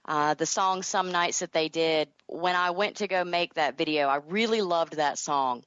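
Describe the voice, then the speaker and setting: Low-voiced Southern American woman, dull audio. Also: moderate pace, fairly bright tone, smooth, dry recording. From Southern United States. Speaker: interview guest, author and creator. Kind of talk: casual interview chat.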